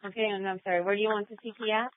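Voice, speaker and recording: A woman's voice: medium pitch, fairly even intonation, very fast, no room sound. A woman working as a police dispatcher, speaking in brief procedural phrases over the radio. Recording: tinny narrowband police radio transmission.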